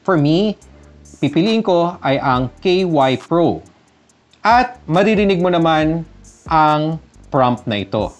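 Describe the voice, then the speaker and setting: Woman, Filipino accent, low pitch, expressive intonation, casual how-to vlog narration. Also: takes it slowly, highs cut off. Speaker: vlogger. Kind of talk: tutorial narration mixing casual and instructional talk.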